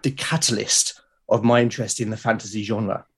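A man's voice: fairly low pitch, fairly even intonation, a little fast, a bit bright, slightly rough. A man in his forties with a British accent, chatting casually as an interview guest, reflective and enthusiastic. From United Kingdom. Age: 40s. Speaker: podcast guest, illustrator.

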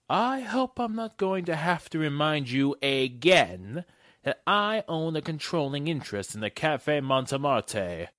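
Guy doing a haughty rich fusspot in a radio play, really slow drawl of words, swoopy pitch. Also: a bit bright, no echo, clean studio sound, full character voice. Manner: pompous, haughty, wheedling menace. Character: wealthy, imperious, fussy club owner demanding special treatment. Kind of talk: old-time radio drama acting.

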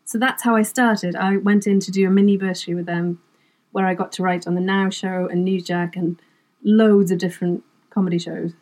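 A woman's voice: lowish voice, fairly even intonation, a little fast, a little mellow. A middle-aged woman from Wales, whose first language is Welsh, chatting as a guest about her writing work, self-deprecating and laughing often. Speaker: comedy writer, guest. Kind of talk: casual interview chat.

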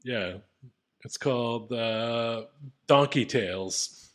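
A man with a deep voice co-hosting a podcast, laid-back and reading out listener reviews. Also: slow pace, fairly bright tone. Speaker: podcast co-host. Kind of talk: casual comedic chat.

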